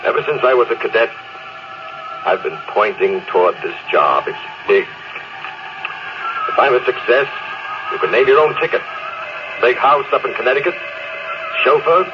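An actor with an American accent playing a proud, emotionally closed captain in a classic radio drama, with intense, clipped delivery. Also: male voice, medium pitch, expressive, moderate pace, long pauses, music in the background, close to an everyday voice. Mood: firm, placating. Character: proud, stern, emotionally closed spaceship captain and fleet commander. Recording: noisy, dull old radio recording.

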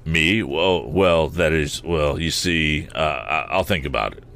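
A man voicing a hesitant older father in a storybook, halting and evasive as he speaks. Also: a bass voice, vocal fry, a bit bright, exaggerated voice. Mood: flustered, evasive. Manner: halting, dithering. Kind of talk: audiobook character voice.

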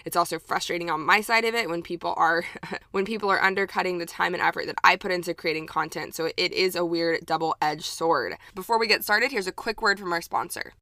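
A woman's voice: on the low side, brisk, sharp tone, no echo, clean studio sound. A young woman with a light Texan accent, hosting her own podcast in an upbeat, chatty way with plenty of fillers. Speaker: podcast host. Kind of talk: casual solo podcast talk.

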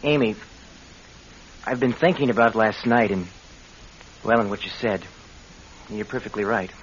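An actor playing a sardonic, spendthrift husband in an old-time radio drama, with a breezy way of talking. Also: male voice, on the low side, measured pace, lightly acted. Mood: contrite, wheedling. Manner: sarcastic, breezy. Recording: dull, hissy vintage radio recording.

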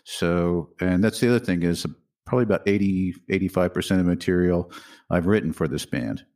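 A man who is the guitarist, singer and songwriter of a band, answering interview questions in a halting way with many fillers. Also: sits low, a little mellow. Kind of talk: casual interview talk.

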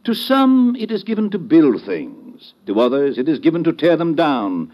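A pompous, swooping old-radio professor. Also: male voice, on the high side, sing-song, normal speed, muffled recording. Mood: grandiose. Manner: florid, verbose, lofty orotund phrasing. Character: pompous, long-winded philosophizing professor, a toga-clad tippler. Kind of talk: old-time radio comedy-detective acting.

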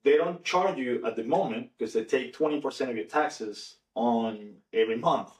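A man from Venezuela with a Venezuelan accent, a native Spanish speaker, talking casually and informally in an animated way. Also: mid-range voice, moderate pace. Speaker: podcast participant. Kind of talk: casual conversation. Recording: echoey room, distant mic.

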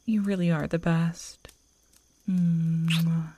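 Slow, mellow, affectionate girlfriend played by a woman. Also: low voice, flat delivery, dry recording, exaggerated voice. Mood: affectionate, dreamy. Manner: intimate, teasing, slow and cosy. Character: affectionate, playful werewolf girlfriend on a camping trip. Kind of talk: ASMR audio roleplay. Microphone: close microphone, dry.

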